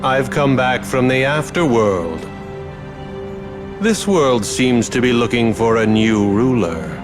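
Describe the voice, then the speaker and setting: Haughty, swooping villain tyrant. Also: male voice, medium pitch, lively intonation, slow pace, music in the background. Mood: smug. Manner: haughty, taunting, theatrical. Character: suave returned male tyrant, smug and mocking. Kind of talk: theatrical video game voice acting.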